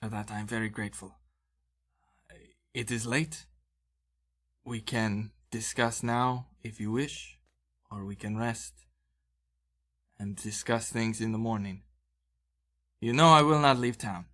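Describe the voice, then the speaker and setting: A man playing a courteous, guarded traveller in a tabletop game, speaking in formal, measured phrases. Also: on the low side, fairly even intonation, bright, forceful tone, full character voice. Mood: grateful, guarded.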